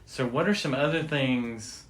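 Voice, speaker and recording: A man's voice: mid-range voice, measured pace, echoey room, poor audio. Playful American man in casual banter. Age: middle-aged. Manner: playful, teasing questioning. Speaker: podcast co-host.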